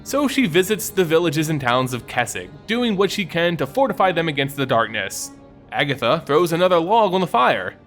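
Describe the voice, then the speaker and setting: A man narrating a scripted story in a theatrical, suspenseful manner with deliberate phrasing. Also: a little high, fairly bright tone.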